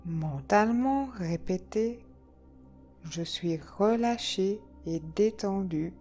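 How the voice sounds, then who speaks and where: A woman leading a guided yoga nidra relaxation, speaking calmly and soothingly in short phrases with long pauses. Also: mid-range voice. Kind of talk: guided relaxation meditation, slow instructions. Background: soft background music.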